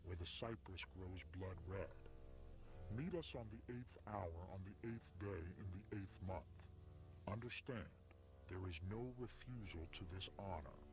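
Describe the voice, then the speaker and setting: A man playing an ominous cult messenger in an analog horror story, speaking formally and gravely with solemn menace. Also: fairly low pitch. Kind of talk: scripted horror narration, formal recruitment message.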